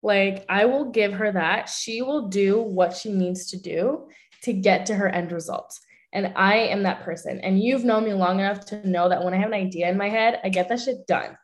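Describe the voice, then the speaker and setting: A young woman with a French accent and French as her first language, an actress talking candidly and swearing freely in a casual interview. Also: medium pitch. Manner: candid, swears freely, talks in quick bursts. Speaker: voice actress and social media manager.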